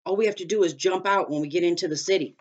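A woman reading aloud voices Annie, a cheerful young girl, with a raised, lively voice. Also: fairly low pitch, rapid-fire, voice pushed up, close to an everyday voice. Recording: dull lo-fi recording.

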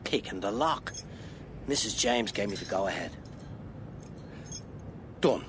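An Irish man playing a brisk, wry private detective who is in charge, in a radio drama. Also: animated. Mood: dry, matter-of-fact. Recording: rumbling background noise, some room echo.

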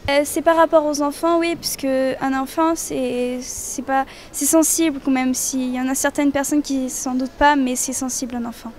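A woman's voice: high-pitched, darkish tone, a little hiss or hum. A teenage schoolgirl answering interview questions on a handheld mic outdoors at school, hesitant and thinking aloud. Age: teens.

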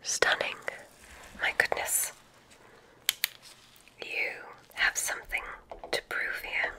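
A woman whispering an ASMR roleplay as a kindly witch examiner, giving soothing, deliberate instructions in a breathy voice. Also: pushed and bright, low-quality recording.

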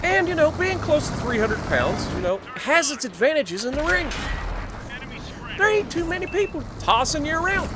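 Animated high-pitched American man telling stories. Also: normal speed, noisy background. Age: 30s. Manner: animated, jokey, exclamatory. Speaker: gaming video creator. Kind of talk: casual storytelling over gameplay.

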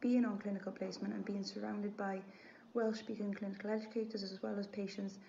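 Young woman in a flat, gravelly video clip. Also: mid-range voice, fairly quick, highs cut off, a little hiss or hum. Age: 20s. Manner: steady, read-through delivery. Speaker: student. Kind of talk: recorded video statement. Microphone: webcam.

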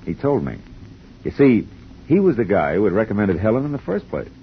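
An actor playing a world-weary private detective in 1950s radio noir, with a wry, hard-boiled manner. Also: male voice, fairly low pitch, sing-song, a little fast, a little hiss or hum. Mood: wry, casual. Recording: dull 1950s radio recording.

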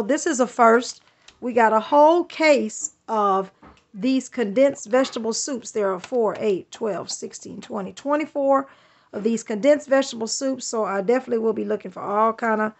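Woman vlogger, thirties, slightly high voice, chatty and grateful, phone-filmed at home. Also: normal speed. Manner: warm, thankful, chatty. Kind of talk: casual home vlog. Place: home kitchen, indoors. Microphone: handheld phone camera.

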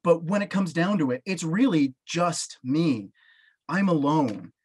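A man's voice: a little high, lively intonation, a little slow, breathy edge. Earnest Canadian man on a video call. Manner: earnest, emphatic, gestures with both hands. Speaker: panelist, professor. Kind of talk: personal testimony on a video call. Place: small home office with bookshelves. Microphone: webcam on a video call.

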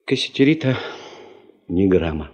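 A folksy, joking old-time prospector in a seventies Soviet radio play. His voice is husky and mellow, swinging widely as he spins mock-grand phrases. The tape sounds a little dull. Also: sits low, very animated, measured pace, full character voice. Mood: bragging, folksy. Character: chatty, wry working man, ex-sailor and prospector.

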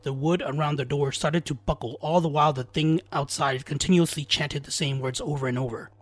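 A man's voice: on the high side, steady tone, a little fast. A man narrating a scripted scary folktale as a storyteller, with dramatic, suspenseful delivery. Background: background music.

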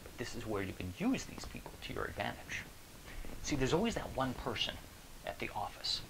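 A middle-aged American man speaks like a keynote motivator, casual and emphatic, tossing out questions to the listener. His voice has a gravelly creak, with a faint bed of room noise behind it. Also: mid-range voice, fairly quick. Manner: animated, conversational, emphatic, rhetorical questions. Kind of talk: casual motivational video talk to camera. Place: small indoor room. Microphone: lapel.

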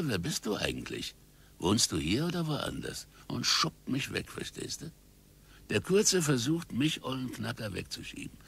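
A man's voice: medium pitch, vocal fry, fairly bright tone, husky, heavily acted. An older man playing a chatty, wistful old pensioner telling a story colloquially in a radio play. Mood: amused.

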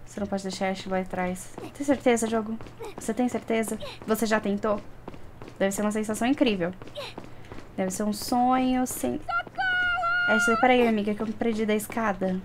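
A woman's voice: mid-range voice, steady tone, fairly quick, a little hiss or hum. A young woman with a Brazilian accent giving casual, playful, chatty commentary while playing a video game. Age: young adult. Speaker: gaming streamer. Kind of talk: casual let's play commentary. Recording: game sound clearly behind voice.

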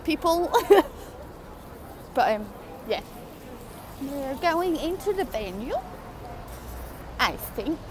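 A woman's voice: high-pitched, sing-song, takes it slowly, long pauses. A young woman vlogging on a phone held at arm's length, rambling offhand with lots of fillers, near a concert venue. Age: young adult. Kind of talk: casual vlog chat. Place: busy street and cafe outside a concert venue. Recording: loud music and crowd noise.

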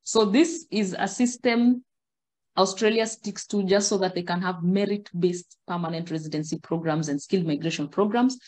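A middle-aged Kenyan woman speaks as a guest on a video call. She explains things in a measured, steady way and gestures as she talks. Her voice sits a little low for a woman. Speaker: guest, migration agent. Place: indoor room.

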